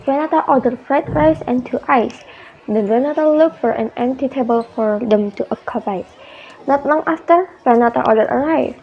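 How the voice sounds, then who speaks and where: A woman's voice: a little high, dull audio, a little hiss or hum. A young woman reading scripted narration for a radio drama. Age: young adult.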